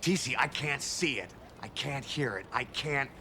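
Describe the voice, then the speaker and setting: An actor playing a flustered air traffic control worker under strain in a film. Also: male voice, mid-range voice, expressive, normal speed, bright, forceful tone. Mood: despairing. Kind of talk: film acting.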